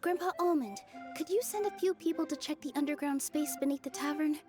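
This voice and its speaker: Young forest fairy heroine for a game, female actor: high, gentle, earnest, light music behind. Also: brisk, natural voice. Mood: concerned. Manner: soft, earnest, thoughtful. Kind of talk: video game voice acting.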